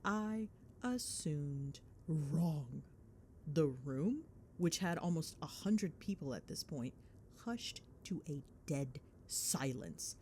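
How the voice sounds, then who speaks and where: A woman playing a chatty, cheeky, affectionate girlfriend in an ASMR roleplay, telling stories in an animated, sarcastic, dramatic way. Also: deep voice, slow, a little hiss or hum. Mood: amused, gossipy. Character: chatty, cheeky, affectionate girlfriend gossiping while driving home. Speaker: voice actor.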